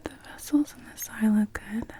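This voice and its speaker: Woman whispering asmr eye doctor roleplay, soft and breathy, mellow, gentle unhurried clinical talk. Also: a little high. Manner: gentle, unhurried, clinical narration of each step. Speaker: ASMR performer playing an optometrist.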